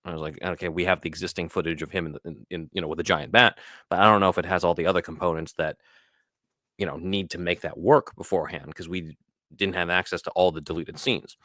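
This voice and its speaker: A man's voice: sits low, fairly even intonation, fast talker, sharp tone, dry recording, clean studio sound. A man speaking as an interview guest, a writer and voice actor chatting casually about his work.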